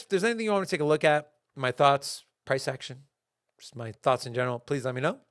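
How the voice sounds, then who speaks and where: Animated young man talking crypto markets. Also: on the high side, no room sound, clean studio sound. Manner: animated, talks in bursts with fillers and restarts. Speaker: crypto market show host. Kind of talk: casual market commentary to a live chat.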